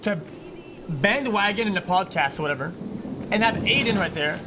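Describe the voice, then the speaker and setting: A man's voice: high voice, expressive, moderate pace, noisy background. A man on a stream in a heated, casual rant, arguing, repeating himself and swearing. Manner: argumentative, repetitive, swearing.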